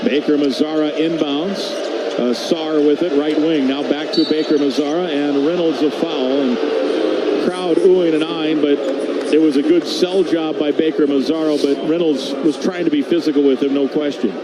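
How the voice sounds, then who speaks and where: High-pitched American play-by-play man, loud arena noise. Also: fairly even intonation, moderate pace. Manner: clipped running descriptions in short phrases. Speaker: play-by-play radio announcer. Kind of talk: live basketball play-by-play commentary. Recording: very noisy arena background. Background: arena crowd and game ambience.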